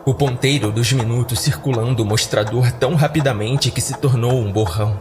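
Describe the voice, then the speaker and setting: A man's voice: medium pitch, brisk, background noise. A man narrating a scripted horror story in a dramatic audio drama style.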